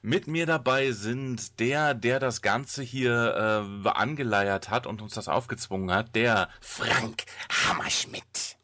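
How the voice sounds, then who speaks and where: A man's voice: medium pitch, takes it slowly, few pauses, pushed and bright. A man hosting a casual podcast chat with a wry, mock-dramatic way of talking. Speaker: podcast host.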